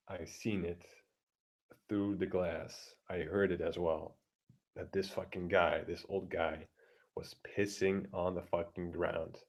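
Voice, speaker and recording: A man with a deep voice ranting crudely and bluntly, keeping his voice down at home, halting with many fillers and restarts. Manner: keeps his voice down, talking quietly; crude, blunt, heavy swearing. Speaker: vlogger. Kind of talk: casual profane rant. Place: home room with neighbors through the walls.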